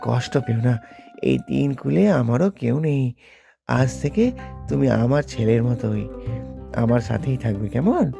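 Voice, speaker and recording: A man voicing a kindly, lonely older fisherman in a cartoon, fatherly and gentle. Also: medium pitch, lively intonation, normal speed, gravelly, long pauses, music in the background. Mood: tender, reassuring. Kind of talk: cartoon dialogue.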